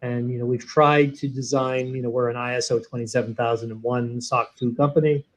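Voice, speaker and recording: A man, a guest in an interview, explaining a software product in an animated way, walking through each step. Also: medium pitch, fairly quick, rough recording. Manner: animated, walks through steps one by one. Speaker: guest, sales training software executive.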